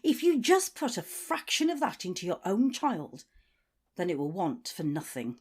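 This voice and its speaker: A woman's voice: on the low side, sing-song, normal speed, slightly rough. An actress playing the authoritative female leader of a survivor community in an audio drama. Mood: supportive. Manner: authoritative, swearing, shifts from supportive to domineering. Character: commanding female community leader, confiding yet ruthless.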